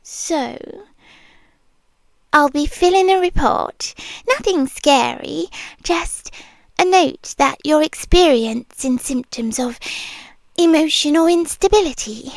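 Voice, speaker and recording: A British woman playing an obsessive, possessive young nurse in an ASMR roleplay, whispering softly and teasing in a sweetly controlling way close to the mic. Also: sits high, slow pace, dry recording. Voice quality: soft, breathy whispering. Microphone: close mic, speaking into the ear.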